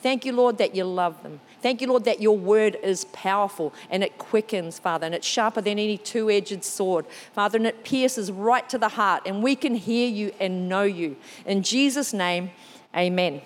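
A woman's voice: mid-range voice, moderate pace. New Zealand woman preaching in a hall. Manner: lively, warm storytelling with self-deprecating asides. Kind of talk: sermon.

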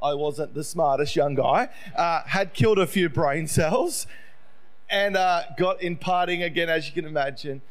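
High-pitched Australian preacher, a middle-aged man. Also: normal speed. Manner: conversational, self-deprecating storytelling. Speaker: visiting preacher. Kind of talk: sermon, personal testimony.

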